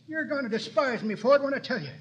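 An actor playing a panicky, talkative old man in a 1940s radio comedy, with a cracked voice and folksy, excitable speech. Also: very high voice, fast talker, lo-fi sound. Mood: nervous, confiding. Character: panicky, talkative old man convinced police want him. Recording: old radio broadcast before a studio audience.

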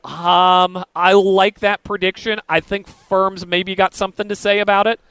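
A man's voice: sits high, flat delivery, unhurried, a bit bright, clear, resonant tone, no room sound. A man hosting a sports radio show, chatting casually with a bantering manner.

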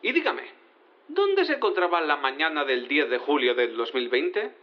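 A hesitant courtroom lawyer from an audio drama, speaking in a fairly high voice. His questions are formal, stumbling and very animated in pitch. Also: normal speed, highs cut off. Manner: hesitant, formal, with throat-clearing and restarts. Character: hesitant male defense lawyer cat questioning a witness. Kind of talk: audio fiction courtroom acting.

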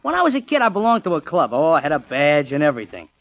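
A man's voice: a little high, huge pitch swings, fast. A man playing a good-natured, blunt, earnest ex-army driver in a 1940s radio comedy drama. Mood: earnest, friendly. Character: good-natured, plain-spoken male driver, ex-army military policeman. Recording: muffled, dry vintage 1940s radio recording.

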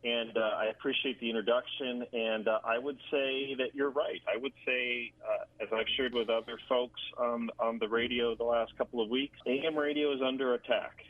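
A man speaking as an interview guest over a phone, explaining in a halting way and repeating words. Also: medium pitch, steady tone, no echo. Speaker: guest, government affairs director. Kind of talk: explanatory radio interview talk. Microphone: phone call. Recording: narrow tinny telephone line.

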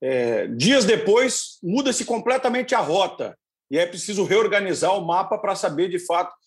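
A man's voice: high voice, animated, moderate pace. A man who works as a sports commentator, speaking as a podcast guest in effusive, flowing broadcaster phrasing. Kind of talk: podcast discussion.

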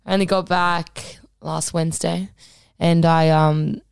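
Woman co-host, husky and low, laid-back rambling delivery, dry close recording. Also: slow, no room sound. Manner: relaxed, rambling, many ums. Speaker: podcast co-host. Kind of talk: casual podcast chat.

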